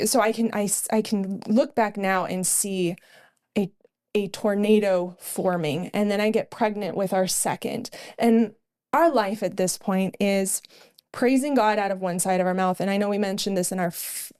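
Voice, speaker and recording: A woman's voice: medium pitch, steady tone, a little slow, darkish tone, clear, resonant tone. A middle-aged woman co-hosting a podcast, telling her personal testimony in an earnest storytelling way with frequent fillers. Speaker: podcast co-host.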